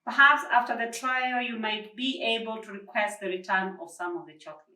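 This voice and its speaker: A woman reading the part of a patient, firm policeman in a children's storybook, measured and emphatic. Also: fairly high pitch, pushed and bright, pitched above the performer's own voice. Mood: patient, explaining. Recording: roomy, distant microphone.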